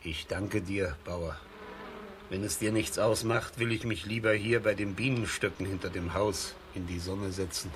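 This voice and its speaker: An actor playing a serene, courteous blind old wanderer with a raspy voice and solemn manner in a children's radio play. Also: male voice, low-pitched, fairly even intonation, moderate pace, a bit bright, slight background noise.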